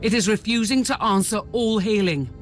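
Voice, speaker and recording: A woman voicing an earnest, serious character in a dark science-fiction war game, with dramatic delivery. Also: mid-range voice, normal speed, soft background music, lightly acted. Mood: concerned, formal. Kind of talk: dramatic video game voice acting.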